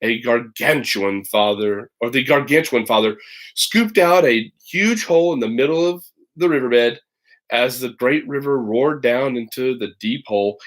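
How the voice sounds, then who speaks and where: Male storybook reader, teacher type, mid-range voice, animated, conversational, drops in playful asides. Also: medium pitch, low-quality recording. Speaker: teacher reading aloud to children online. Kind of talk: expressive storybook read-aloud with casual asides.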